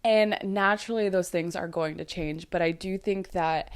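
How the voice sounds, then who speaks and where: A woman's voice: lowish voice, fairly even intonation, moderate pace, smooth. A young woman hosting a casual dating podcast, chatty and loose with lots of likes and ums, her voice still sleepy from just waking up. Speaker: podcast host and dating coach.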